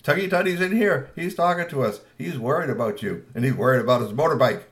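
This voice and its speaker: A man's voice: mid-range voice, expressive, rapid-fire, vocal fry, fairly bright tone. A man doing an amused easygoing dad.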